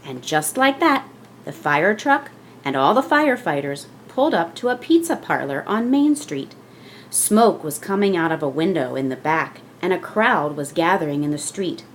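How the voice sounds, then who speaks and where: A woman reading a children's picture book aloud as scripted narration, with expressive storytelling delivery. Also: lowish voice, normal speed, a little hiss or hum.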